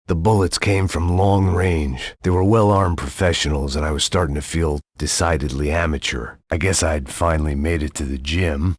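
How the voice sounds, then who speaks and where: A gravelly, world-weary noir bodyguard. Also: male voice, very deep voice, dry recording. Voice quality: gravelly, sardonic. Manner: dry wisecracks, bleak inner monologue. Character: world-weary middle-aged male bodyguard, ex-cop. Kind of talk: noir video game voice lines.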